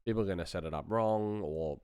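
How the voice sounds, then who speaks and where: A man with a low, clean voice presents a casual tutorial. He rambles and thinks out loud as he works. The sound is dry, with hardly any room in it. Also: brisk, clear, resonant tone, no echo. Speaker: sound designer presenting a livestream.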